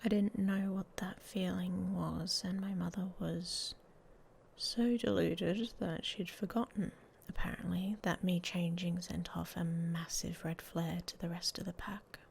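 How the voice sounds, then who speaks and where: A woman playing a guarded, hot-tempered young werewolf in an ASMR roleplay, speaking in breathy half-whispers with a hesitant, dryly blunt manner. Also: on the low side, level tone, unhurried, a little mellow. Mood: quiet, confiding. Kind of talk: ASMR roleplay audio story, intimate monologue. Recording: faint background noise.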